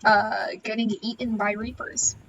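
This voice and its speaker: A woman's voice: on the high side, level tone, unhurried, recorded from a distance, dull audio, crowd noise. A woman chatting casually while streaming a video game, with a playful, silly manner and a habit of repeating phrases in a singsong. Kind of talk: casual gameplay chat.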